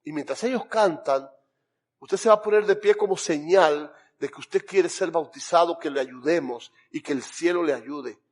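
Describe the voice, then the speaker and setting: A man's voice: a little high, moderate pace. A man with a Cuban accent, a pastor making an earnest altar call and praying, pleading in rhythmic lists. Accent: Cuban Spanish. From Cuba. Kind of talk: sermon altar call and prayer.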